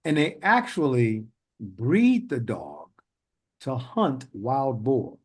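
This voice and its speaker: A man's voice: mid-range voice, sing-song, slow, breathy edge. A man hosting an interview podcast, chatting casually and wandering into long stories with frequent laughter. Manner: rambling, chatty storyteller who laughs often. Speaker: podcast host.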